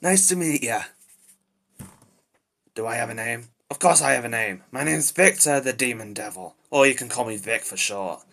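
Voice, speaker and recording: A man voicing a short-tempered demon devil with bat-wing ears in amateur character acting, with a raspy voice and an irritable, flustered, blurting manner. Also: mid-range voice, normal speed, a bit bright. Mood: breezy, greeting. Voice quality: raspy, gravelly.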